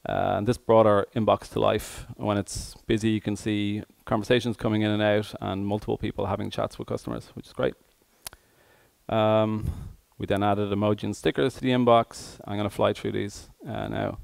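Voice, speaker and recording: A man giving a tech conference presentation as an engineer, speaking hesitantly with frequent pauses mid-phrase. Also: fairly low pitch, little pitch movement, gravelly, a little mellow, dry recording.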